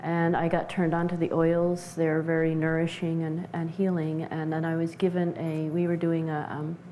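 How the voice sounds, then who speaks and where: A woman giving explanatory interview answers as a practitioner, measured and halting as she searches for words. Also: deep voice, little pitch movement, dark tone, slight background noise, poor audio. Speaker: interview guest, essential oils practitioner.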